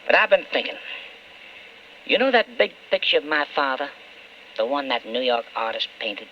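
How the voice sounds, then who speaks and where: An actor playing a weak, wavering alcoholic husband and politician in an old-time radio drama, halting and emotional, often stammering. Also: male voice, medium pitch, very animated. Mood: tipsy, rambling. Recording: dull, faintly noisy old radio recording.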